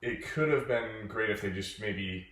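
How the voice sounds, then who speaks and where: A man's voice: on the low side, measured pace, fairly bright tone. A young man, a music student guest, chatting easily about a film with some hesitant restarts. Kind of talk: casual chat. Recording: echoey room, distant mic, faint noise.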